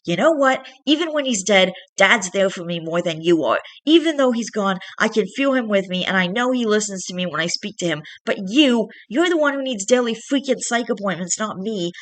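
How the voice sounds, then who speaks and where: A woman's voice: fairly low pitch, normal speed, no echo, natural voice. A woman playing a bitter, wounded young man, sarcastic and exasperated, in a story read aloud. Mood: angry, exasperated.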